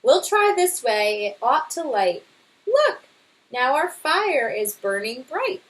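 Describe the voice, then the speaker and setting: A woman's voice: high voice, big pitch swings, slow pace, full character voice, rough recording. A woman voicing an eager, helpful young bear scout in a storybook read-aloud, earnest and emphatic. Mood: excited.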